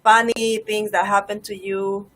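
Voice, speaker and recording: A woman's voice: mid-range voice, little pitch movement, unhurried, a bit bright, slight background noise, poor audio. A woman from Bolivia interviewing a guest on a video call, asking questions in a friendly way.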